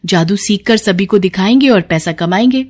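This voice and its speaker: A woman's voice: medium pitch, moderate pace, darkish tone, natural voice. A woman voicing a caring, hopeful young girl, the younger sister in a storybook tale, sweet and earnest.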